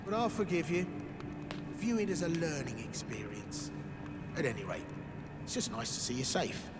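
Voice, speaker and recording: An actor playing a wily, chummy rogue merchant in a video game, cheeky and colloquial with a British accent. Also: male voice, a little high, animated, moderate pace, over loud music, natural voice. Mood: chummy, sly. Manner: cheeky, colloquial, mock-concerned. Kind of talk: video game character acting.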